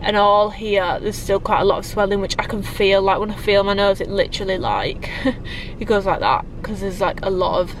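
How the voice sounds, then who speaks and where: A woman's voice: medium pitch, moderate pace, a little hiss or hum, rough recording. A British woman in her twenties, chatting casually to camera with lots of likes and ums, her nose a little blocked from a cold. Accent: British English. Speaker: fitness vlogger. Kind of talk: casual vlog chat to camera. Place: parked car, also home.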